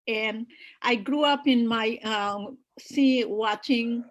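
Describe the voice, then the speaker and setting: A middle-aged woman from Bangladesh who tells her story slowly, often pausing on fillers. She sounds earnest and keeps circling back to restart her sentences. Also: on the high side, dull audio. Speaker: political activist guest. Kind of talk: personal story in a virtual panel.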